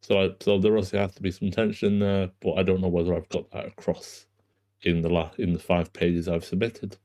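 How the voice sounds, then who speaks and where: A man with a deep, soft-edged, mellow voice talks as a writer presenting work. His delivery is informal and explanatory, over an online group call. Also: flat delivery, brisk. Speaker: screenwriter introducing his script.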